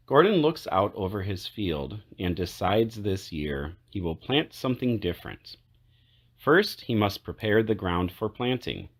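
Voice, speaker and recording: A man narrating a children's picture book aloud, phrase by phrase in a measured way with clear pauses. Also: sits low. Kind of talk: read-aloud storybook narration.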